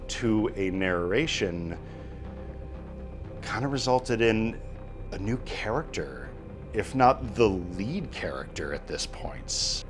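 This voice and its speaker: A man who works as a writer, talking reflectively in an interview about the craft. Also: fairly low pitch. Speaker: screenwriter.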